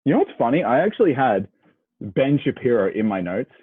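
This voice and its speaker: A man's voice: mid-range voice, very animated, brisk, breathy edge, dry recording. A young Australian man bantering casually and bluntly as a podcast co-host, swearing freely and full of opinions. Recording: muffled phone-like radio sound.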